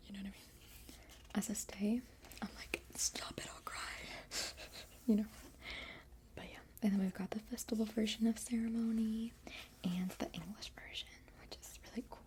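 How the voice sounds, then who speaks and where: A young woman whispering through a casual album unboxing and softly half-singing song lines, rambling with many fillers. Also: mid-range voice. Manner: rambling, filler-heavy chatter. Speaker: vlogger.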